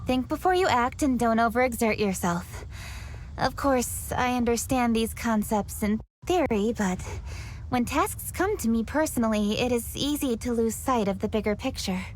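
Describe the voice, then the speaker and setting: A woman voicing an earnest, driven young woman in a game cutscene, speaking in a composed, thoughtful, measured way. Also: fairly high pitch, lively intonation, slight background noise, close to an everyday voice, poor audio. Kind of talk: video game cutscene dialogue. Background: game music.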